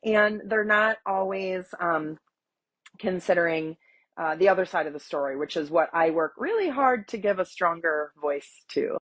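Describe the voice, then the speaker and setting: Woman, forties, from Wisconsin, stuffy nose, lowish voice, chatty storytelling. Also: normal speed. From Wisconsin, USA. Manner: chatty, animated storytelling with fillers. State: stuffy from a cold. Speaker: guest, agriculture advocate. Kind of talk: conversational interview.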